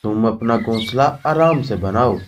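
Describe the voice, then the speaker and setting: A man's voice: lowish voice, animated, a little slow, far from the mic, noisy background. A man voicing a greedy, scheming eagle who blusters and feigns friendliness in a children's cartoon. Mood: falsely friendly. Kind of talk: Hindi children's cartoon voice acting.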